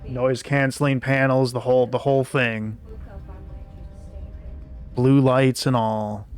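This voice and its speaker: A man with a raspy voice streaming a game, chatting in a relaxed, rambling way with frequent restarts. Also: mid-range voice, slow. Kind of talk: casual gameplay commentary. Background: game music.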